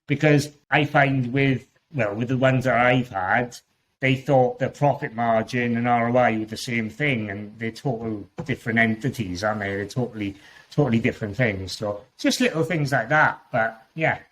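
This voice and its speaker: A man from Wales speaks with a strong Welsh accent at an ordinary middle pitch. He is a podcast guest, talking in a loose, chatty way that rambles and often restarts its sentences. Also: moderate pace, a bit bright, rough recording. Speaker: guest Amazon seller. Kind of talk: casual podcast interview.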